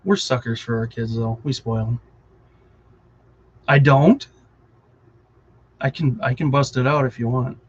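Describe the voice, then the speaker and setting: A man's voice: medium pitch, steady tone, unhurried, long pauses, husky, lo-fi sound. A middle-aged man with a Wisconsin accent, chatting casually and rambling on a live stream, often distracted and chuckling.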